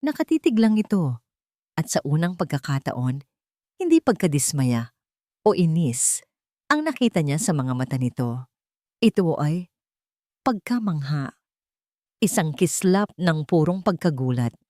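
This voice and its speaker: Female narrator, Filipino accent, low voice, dry close sound, scripted romance storytelling. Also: sing-song, measured pace, long pauses, a little mellow, no room sound.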